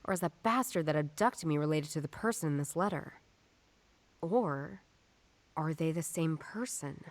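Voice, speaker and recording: A tough ex-cop detective for a noir game. Her voice sits very low for a woman and sounds close and dry. She speaks bluntly and challenges whoever she faces. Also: lively intonation, moderate pace, no room sound. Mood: puzzled, frustrated. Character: tough, defiant female ex-police detective on her own case. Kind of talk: scripted noir video game voice acting.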